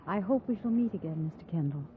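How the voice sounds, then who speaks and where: Actress as a gentle frontier schoolteacher, muffled radio. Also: female voice, lowish voice, expressive, fast talker, natural voice. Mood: wistful, restrained. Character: reserved, gentle frontier schoolteacher woman, quietly hopeful. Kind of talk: 1950s radio drama acting. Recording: muffled 1950s radio recording, faint noise.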